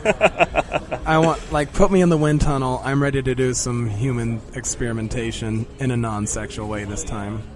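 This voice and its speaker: A man who is a stand-up comedian, chatting and joking in an interview with a raspy voice, often clearing his throat. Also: mid-range voice, steady tone, normal speed. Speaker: stand-up comedian, feature act. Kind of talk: casual interview chat. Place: pizzeria comedy venue.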